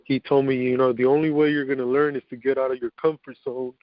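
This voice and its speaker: A man's voice: medium pitch, flat delivery, quick pace, no room sound. A man on a conference call, chatting casually and motivationally in an informal, anecdotal way, often posing questions to himself. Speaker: participant in a self-improvement conference call. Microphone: conference call line. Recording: dull, lo-fi conference call audio.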